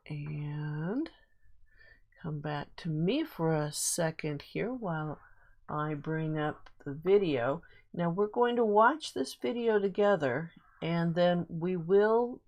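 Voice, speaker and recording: A woman teaching a guided reading lesson to children, explaining things simply and repeating key points. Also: fairly low pitch. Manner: simple explanations with much repetition.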